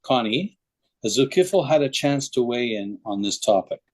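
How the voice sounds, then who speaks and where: A man's voice: mid-range voice, lively intonation, normal speed. A man hosting a podcast, introducing the discussion and interviewing a guest. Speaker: podcast host.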